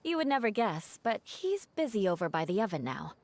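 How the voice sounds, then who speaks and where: A woman's voice: mid-range voice, big pitch swings, fairly quick, darkish tone, dry recording. A woman voicing a cool, self-assured young noblewoman warrior in a video game, with a dry, confident, teasing manner. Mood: dryly amused. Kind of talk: English game voice acting.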